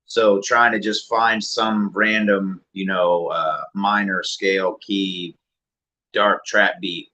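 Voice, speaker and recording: A man, a music producer and rapper, talking casually and reflectively as an interview guest in a deeper mid-range voice. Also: slow pace. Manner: relaxed, reflective, many fillers.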